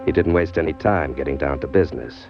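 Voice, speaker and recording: A man's voice: rumbling low, quick pace. A man playing a cocky, sly small-time crook in an old radio crime drama, talking and narrating in tough, wisecracking slang. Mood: wry narrating. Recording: dull vintage radio recording, music behind.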